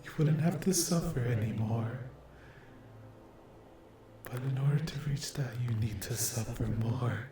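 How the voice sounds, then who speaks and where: A man's voice: mid-range voice, lightly acted. A man voicing a cruel, possessive demon in an ASMR roleplay, murmuring and whispering breathily with sweet menace. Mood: possessive, gloating. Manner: breathy, drawn-out, sadistic, gloating endearments. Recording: roomy echo with clear background noise.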